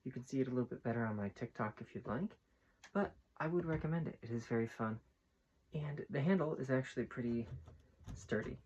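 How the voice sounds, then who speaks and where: A man talking casually and informally to viewers in a soft, mellow voice, in the manner of a homemade ASMR video. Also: medium pitch, brisk, dull audio, slight background noise. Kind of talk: casual ASMR chat while building bricks. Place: small room at home, on the floor.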